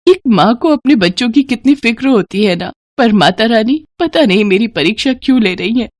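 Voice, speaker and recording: A woman's voice: a little high, lively intonation, moderate pace, dry recording, clean studio sound, pitched above the performer's own voice. A woman voicing a devout, earnest, hardworking eatery owner in an emotional storybook manner. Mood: sorrowful.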